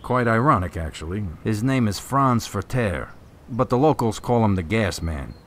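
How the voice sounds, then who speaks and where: A man playing a plain-spoken, methodical policeman in an audio drama, talking in a measured, matter-of-fact way. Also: lowish voice, expressive, slight background noise, lightly acted. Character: plain-spoken policeman, uneasy but methodical, acting on a hunch.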